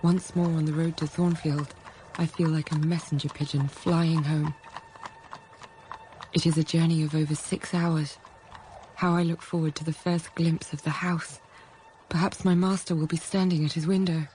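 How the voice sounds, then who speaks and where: A woman's voice: deep voice, level tone, moderate pace, mellow, a little hiss or hum, close to an everyday voice, poor audio. An actress playing a troubled young woman who narrates her inner thoughts in a radio drama, intimate and introspective. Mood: hopeful longing.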